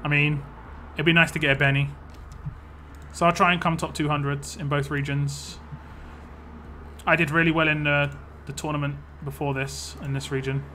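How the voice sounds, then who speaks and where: Bright-voiced man chatting on stream. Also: fairly high pitch, little pitch movement, moderate pace, a little hiss or hum. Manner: chatty, reads viewer comments aloud and answers them. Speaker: live streamer. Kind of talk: casual live stream chat while playing a mobile game.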